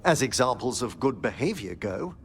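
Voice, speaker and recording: An actor playing a courteous, scholarly gentleman necromancer in a fantasy game, with formal, genteel phrasing. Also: medium pitch, very animated, normal speed, creaky, slight background noise. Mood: genteel, musing.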